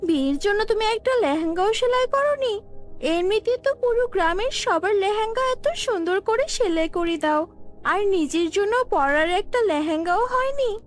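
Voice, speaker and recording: Woman putting on a shrill-high taunting village gossip. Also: expressive, normal speed, faint music behind. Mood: mocking. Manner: taunting, exclaiming. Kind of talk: cartoon fairy-tale voice acting.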